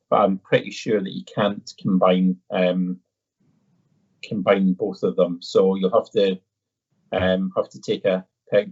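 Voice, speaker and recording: A man's voice: on the low side, a little mellow, husky. A man with an Edinburgh Scottish accent presenting a casual live question-and-answer session, polite and conversational with a hesitant delivery. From Edinburgh, Scotland. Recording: dull, slightly muffled audio.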